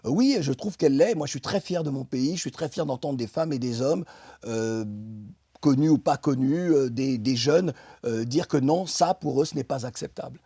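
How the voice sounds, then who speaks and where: A middle-aged man from France, a guest in an interview, speaking emphatically about politics with many pauses and restarts. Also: medium pitch, dark tone, slightly rough, dry recording, studio quality. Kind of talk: impassioned political interview talk.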